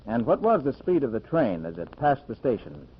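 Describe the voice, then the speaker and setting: An actor playing a confident, unflappable master detective in a 1940s radio drama, crisp and patient in manner. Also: male voice, mid-range voice, very animated, moderate pace, natural voice. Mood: calm, assured. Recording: muffled noisy old radio recording.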